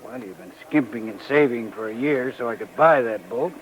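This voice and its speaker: An actor playing a hard-working, plain-spoken, caring husband in a 1940s radio drama. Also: male voice, mid-range voice, normal speed, lightly acted. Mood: earnest, troubled. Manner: plain, folksy. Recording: noisy 1940s radio recording.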